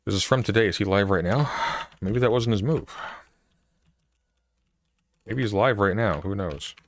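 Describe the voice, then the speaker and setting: A man's voice: fairly low pitch, normal speed. A man hosting a casual reaction stream, talking over clips in an exasperated, emphatic way and repeating himself.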